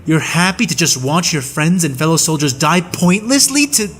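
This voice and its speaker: A man voicing a sincere young woman knight who pleads quickly and earnestly in an audiobook. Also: fairly high pitch, very animated, a bit bright, slight background noise. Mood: pleading. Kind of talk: audiobook reading.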